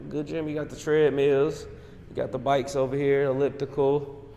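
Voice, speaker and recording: A man's voice: medium pitch, flat delivery, moderate pace, mellow. A man hosting a vlog, giving a loose, slangy running commentary as he tours an apartment. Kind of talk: casual tour commentary. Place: apartment and rooftop, indoors and out. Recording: noisy handheld video audio.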